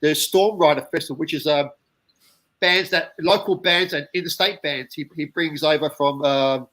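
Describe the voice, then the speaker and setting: A man's voice: on the high side, a bit bright. Stammering British-born male interviewer. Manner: halting, stammering, many restarts. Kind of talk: casual interview.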